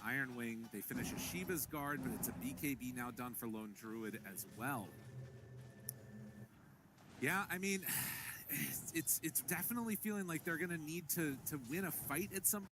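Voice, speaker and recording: A man's voice: a little high, normal speed. A man doing live play-by-play commentary on an esports match. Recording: clear background noise behind voices.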